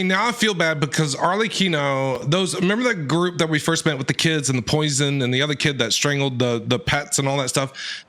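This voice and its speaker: A man giving casual, excitable live reaction commentary as a game streamer. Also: on the high side. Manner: excitable, exclamatory.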